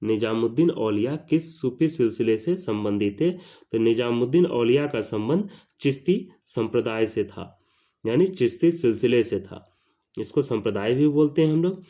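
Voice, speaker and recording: A man with an Indian accent teaching an exam question-and-answer lesson, deliberately repeating each question and answer. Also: medium pitch, animated, warm tone.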